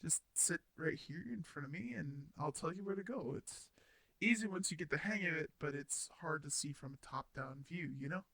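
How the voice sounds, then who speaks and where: A man's voice: a little high, moderate pace, fairly bright tone. A man playing a shy, flustered, kindly robot roommate in an intimate audio drama, speaking hesitantly with stammers. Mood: gentle instructing. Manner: stammering, hesitant, nervous laughs, sighs. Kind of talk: intimate roleplay audio drama addressed to the listener.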